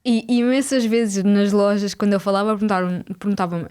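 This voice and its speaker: A woman's voice: medium pitch, steady tone, brisk, darkish tone, clean tone. A woman with a European Portuguese accent, chatting casually as a podcast co-host. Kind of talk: casual interview chat.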